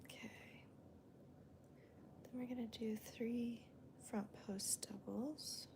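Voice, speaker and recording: A woman hosting a crochet video in a soft, whispery voice, calmly counting stitches aloud as she works. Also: on the high side, slow pace, creaky, background noise. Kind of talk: soft-spoken crochet demonstration and chat.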